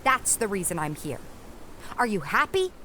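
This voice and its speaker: A woman's voice: medium pitch, big pitch swings, moderate pace, bright, forceful tone, a little hiss or hum. A woman playing a determined, weary young heroine in a family audio drama, earnest and easily exasperated. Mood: irritated.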